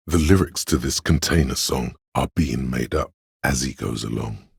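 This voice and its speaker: An actor playing an arty, grandiose inner voice of imagination in a video game, narrating theatrically and savoring each image in a gravelly, husky tone. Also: male voice, a bass voice, no echo, clean studio sound, full character voice. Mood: poetic, savoring. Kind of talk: dramatic second-person game narration.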